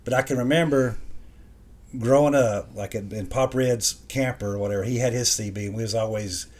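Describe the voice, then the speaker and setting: A man's voice: medium pitch, brisk, darkish tone. A middle-aged man with a Southern US accent, sharing memories in a plainspoken, folksy, casual chat.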